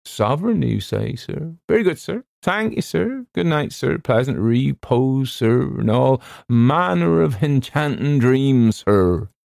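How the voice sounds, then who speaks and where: A man's voice: lowish voice, animated, a little slow, vocal fry, darkish tone, no echo, studio quality. A man voicing a nervous, working-class male ship's steward who speaks servilely and says "sir" constantly. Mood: fawning.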